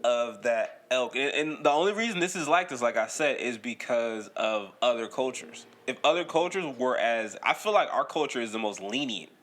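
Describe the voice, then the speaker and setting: A young man chatting on a podcast with a lively, swinging pitch that jumps around as he jokes. He talks loosely and profanely, with frequent laughter. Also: moderate pace, few pauses. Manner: profane, laughs loudly, animated joking. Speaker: podcast co-host. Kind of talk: casual podcast banter.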